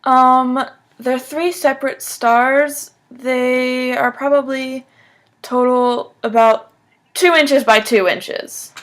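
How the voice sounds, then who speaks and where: A woman's voice: high-pitched, steady tone, slow pace, fairly bright tone. A woman in her twenties answering job interview questions on the phone in a casual, conversational way, often saying "like," recorded at a desk in a small room. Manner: casual, frequent "like" as filler. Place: small room at home, indoors.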